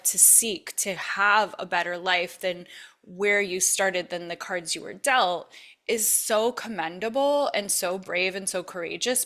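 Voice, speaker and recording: A woman's voice: mid-range voice, pushed and bright. A woman hosting a podcast interview, reflecting back on her guest's story in an affirming, emphatic way, often repeating phrases for effect. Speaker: podcast host.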